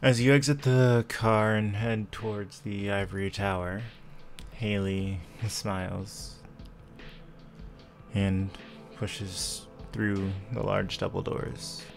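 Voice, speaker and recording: A man with a creaky voice working as game master, narrating a tabletop roleplaying game in a measured, descriptive way. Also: lowish voice, steady tone. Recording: faint background music. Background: soft music.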